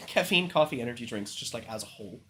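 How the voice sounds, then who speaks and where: A man's voice: mid-range voice, big pitch swings, a little fast, pushed and bright, a little hiss or hum. Sick man rambling on a stream. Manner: rambling, frequent swearing and filler words. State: sick, congested and coughing. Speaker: livestream host. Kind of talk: casual chat.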